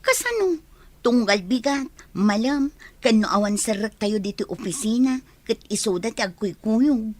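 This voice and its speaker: A woman playing a shy young office worker who is quietly smitten with a coworker, in an audio drama. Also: fairly high pitch, lively intonation, normal speed. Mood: curious, probing. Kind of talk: amateur audio drama acting.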